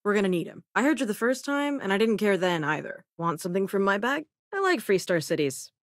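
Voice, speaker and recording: A woman's voice: mid-range voice, very animated, fairly quick, no room sound, studio quality, natural voice. A woman voicing a sardonic, self-assured young female crewmate and researcher in a video game, with a casual, wry delivery. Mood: wry, relaxed.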